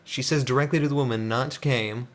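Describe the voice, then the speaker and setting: A man's voice: mid-range voice, steady tone, quick pace. A man hosting a let's play, casually reading the game's story aloud and adding wry, mocking asides. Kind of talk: casual, joking read-along of game story.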